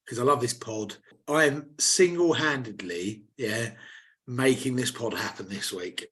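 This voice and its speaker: A man, slightly hoarse, co-hosting a casual podcast and telling stories with lively, laddish banter. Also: mid-range voice, measured pace. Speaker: podcast co-host. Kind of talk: casual chat.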